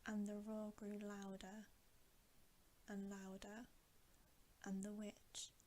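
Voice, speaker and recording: A woman reading a bedtime story as ASMR in a breathy whisper. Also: medium pitch, completely flat delivery, slow, warm tone, slight background noise.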